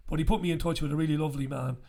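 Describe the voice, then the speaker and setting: A croaky, gravelly-voiced man hosts a casual talk to camera from a small room, speaking into a studio mic. His voice sits a little high for a man, and his talk is blunt and chatty, with the odd swear word. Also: steady tone, very fast, warm tone. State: croaky after illness. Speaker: host. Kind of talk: casual direct-to-camera chat. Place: small bright room indoors. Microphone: studio mic on stand in front.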